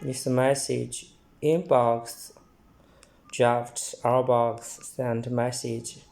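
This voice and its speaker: Slow, flat, dark-voiced man demonstrating a product. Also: mid-range voice, creaky. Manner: halting, reading out short phrases.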